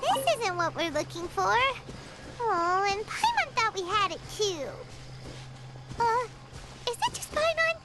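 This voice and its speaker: A woman voicing a tiny, timid, excitable floating fairy companion in a video game, with a squeaky, childlike voice and exclamatory delivery. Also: a little slow, long pauses, loud music behind the voice, exaggerated voice. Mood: hesitant, puzzled. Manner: speaks of herself in third person, exclamatory.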